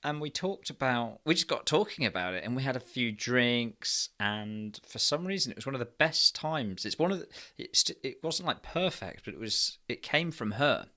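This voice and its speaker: A man's voice: medium pitch, animated, moderate pace, hardly any pauses, bright, forceful tone. A British man co-hosting a podcast in casual chat, rambling and interrupting himself as he goes. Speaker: podcast co-host.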